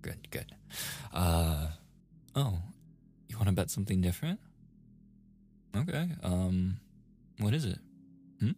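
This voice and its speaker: A man's voice: low voice, big pitch swings, slow, long pauses, mellow, soft background music, heavily acted. A man playing a playful, easily flustered young gamer boyfriend in a casual, intimate ASMR roleplay. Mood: playful, affectionate. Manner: casual, intimate, with chuckles and sighs.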